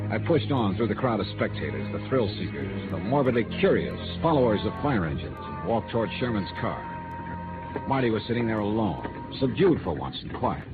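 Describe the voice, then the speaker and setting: A man with an American accent playing a wry, hardboiled insurance investigator in clipped, dramatic 1950s radio noir style. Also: lowish voice. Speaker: lead actor and narrator of a detective drama. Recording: tinny vintage radio recording, music behind.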